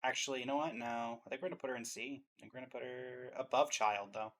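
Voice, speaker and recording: A reaction video host chatting casually, thinking out loud and changing course mid-thought. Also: mid-range voice, fairly even intonation. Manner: deliberates aloud, changes mind mid-thought.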